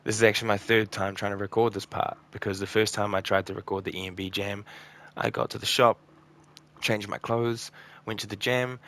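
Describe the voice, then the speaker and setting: Tired raspy man with a gravelly low voice. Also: little pitch movement, a little fast, fairly bright tone. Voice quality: croaky, a bit raspy. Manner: subdued, rambling, frequent ums and trailing off. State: tired and burnt out. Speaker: gaming channel host. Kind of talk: casual gameplay commentary.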